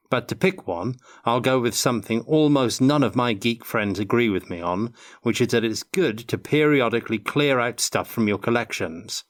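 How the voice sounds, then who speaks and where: An older man giving measured, articulate answers as an interview guest in a prepared Q&A. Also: on the low side. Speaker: interview guest, tabletop game designer.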